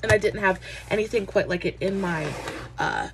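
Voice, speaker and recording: A woman's voice: medium pitch, expressive, normal speed. Woman, young adult, casual beauty vlogger; stuffy nasal voice, chatty with fillers, faint background noise. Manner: chatty, many "uh" fillers, friendly greetings. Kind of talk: casual makeup review.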